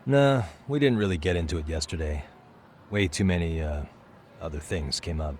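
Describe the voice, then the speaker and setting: A man's voice: low-pitched, expressive, moderate pace, darkish tone, a little hiss or hum. An actor playing a laid-back male detective with an American accent and a casual, understated delivery in a video game. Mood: calm, guarded. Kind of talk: video game cutscene acting, naturalistic.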